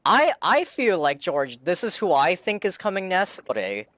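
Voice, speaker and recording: A man's voice: high voice, sing-song, moderate pace, fairly bright tone, no echo. A man giving casual, rambling live reaction commentary as a game streamer on a close microphone. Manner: rambling, informal speculation.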